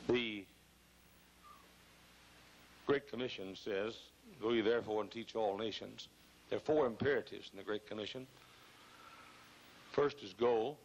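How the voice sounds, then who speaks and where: An older man preaches from a pulpit microphone in a large church, his lowish voice hoarse and rough with gravel. He hammers his points, repeats phrases and swells into loud bursts. A faint hiss from an old video recording sits under him. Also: unhurried, pauses often, highs cut off. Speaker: pastor. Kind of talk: fiery sermon. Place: large church auditorium, choir behind.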